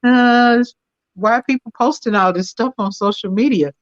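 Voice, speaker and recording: A woman guest chatting casually about business, animated and jumping up high when quoting herself. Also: normal speed, dry recording, highs cut off. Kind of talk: casual chat.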